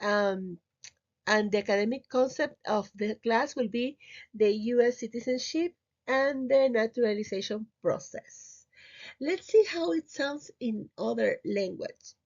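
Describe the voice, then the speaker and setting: A woman's voice: on the high side, a little slow, a little mellow. A middle-aged woman from Peru with a Spanish accent, teaching a recorded slide lesson in hesitant, simple phrases with repeated words. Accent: Peruvian Spanish. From Lima, Peru. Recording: slightly muffled, dull audio.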